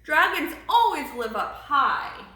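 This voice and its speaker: A woman's voice: high voice, sing-song, takes it slowly, bright, forceful tone, reverberant, heavily acted. A woman voicing a confident, blustery old grandfather in a storybook, declaiming emphatically and oblivious to everything. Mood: brisk, blustery. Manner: declaiming, emphatic, sure of himself. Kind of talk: storybook read-aloud.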